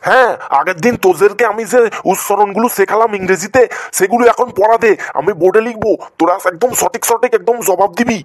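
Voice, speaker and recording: A man's voice: high voice, fast talker, hardly any pauses, natural voice. A man playing an exasperated, scolding schoolteacher in a comedy cartoon, lamenting dramatically. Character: exasperated, scolding male village school English teacher.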